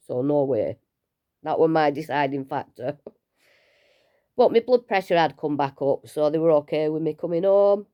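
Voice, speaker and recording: A woman, unwell and low on energy, talking casually and rambling a little in a personal vlog from home. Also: expressive, moderate pace, highs cut off. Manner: chatty, rambling, self-deprecating with small laughs. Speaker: vlogger sharing her cancer treatment.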